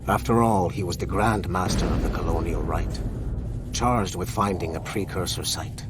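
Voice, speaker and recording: An actor playing a cold, solemn zealot, delivering a formal, measured narrated monologue in a video game. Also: male voice, sits low, heavy background noise, close to an everyday voice. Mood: cold, reflective. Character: cold, solemn male zealot recounting history with disdain. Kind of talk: character monologue, scripted narration.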